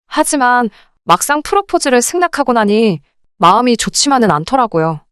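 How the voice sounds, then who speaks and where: A woman's voice: on the high side, clean tone, no echo, clean studio sound. A woman narrating a scripted first-person story in a polite, confiding storytelling manner. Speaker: narrator of a radio-drama story channel.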